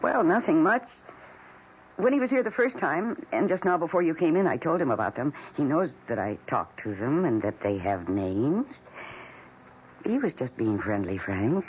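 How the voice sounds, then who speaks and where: A woman's voice: low-pitched, sing-song, normal speed, a little mellow, slightly rough, heavily acted. An actress playing a lonely, fragile housewife who is devoted to her houseplants, timid, pleading and wistful, in an old-time radio drama. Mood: defensive. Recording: muffled, hissy vintage radio recording.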